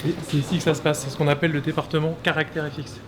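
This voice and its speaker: A man giving a casual tour of an animation studio, chatty and quick to laugh, with lots of fillers and restarts. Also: a little high, level tone, crowd noise. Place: animation studio offices, indoors.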